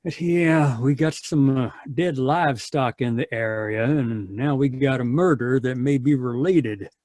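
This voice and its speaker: An actor playing a folksy, easygoing country sheriff in an old-time radio drama, hemming and hesitant. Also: male voice, mid-range voice, darkish tone, no room sound, clean studio sound, natural voice. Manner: halting, hemming.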